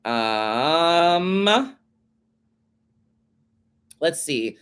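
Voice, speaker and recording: A man's voice: on the high side, very animated, extremely slow, a bit bright, smooth. A man with a stuffy, congested nose who hosts a show and reads tarot for listeners in a chatty way, calling them babe and honey and swearing now and then. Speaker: tarot reader and show host. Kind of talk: casual advice reading of listener questions.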